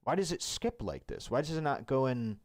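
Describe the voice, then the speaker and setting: A man's voice: medium pitch, sing-song, a little fast. A man arguing in a stream debate, pressing the other side with questions and checking for agreement. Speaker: debater in a played stream clip.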